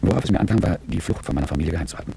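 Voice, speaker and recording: Extremely low, creaky man's voice, that of a wealthy, middle-aged manager in a radio play. He unrolls his monologue very quickly, dry, sardonic and world-weary, in a dark tone. A faint hum sits behind him. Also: lively intonation. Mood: tense, calculating. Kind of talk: German radio drama monologue.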